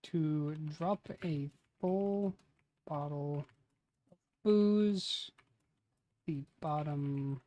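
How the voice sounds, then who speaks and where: A man's voice: on the high side, extremely slow, long pauses, warm tone. A man in his late twenties streaming casually, murmuring phrases aloud as he writes, then chatting with friends and swearing freely. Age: 20s. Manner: mutters lines aloud while typing, then casual banter with swearing. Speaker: streamer writing a tabletop campaign. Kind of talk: casual livestream chat.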